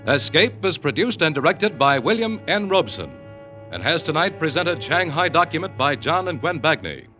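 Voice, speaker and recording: A man's voice: fairly high pitch, big pitch swings, moderate pace, pushed and bright. A man announcing credits in the formal style of old-time radio drama. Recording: vintage radio, faint music behind.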